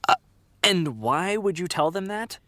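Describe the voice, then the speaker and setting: A man's voice: sits high, animated, a little slow, sharp tone, dry recording, full character voice. An actor playing a prim, health-conscious man, exasperated with his friend, in naturalistic audio drama dialogue. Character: fussy, health-conscious morning person, exasperated male friend.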